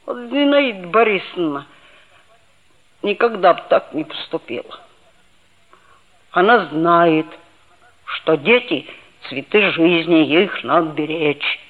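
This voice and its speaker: A woman's voice: lowish voice, slow, long pauses. A woman playing a boastful, touchy schoolboy who tells his story expressively and earnestly in a 1960s Soviet radio play. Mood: aggrieved, earnest. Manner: expressive, self-important, earnest. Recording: dull old radio recording, faint music.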